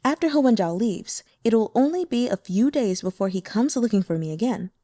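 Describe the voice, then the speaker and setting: A woman's voice: fairly high pitch, lively intonation, moderate pace, dark tone, dry recording, clean studio sound. A woman voicing a coy, teasing demonic seductress. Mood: sly.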